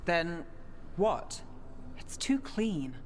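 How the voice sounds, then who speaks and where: An actress playing a shrewd, inquisitive party leader in a video game, with brisk questioning delivery. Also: female voice, lowish voice, sing-song, a little hiss or hum, heavily acted. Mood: probing.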